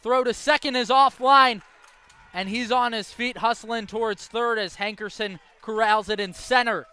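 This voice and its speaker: A man's voice: extremely high pitch, fairly even intonation, normal speed, bright, forceful tone, smooth. A young American man calling baseball play-by-play as a live broadcast commentator. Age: young adult.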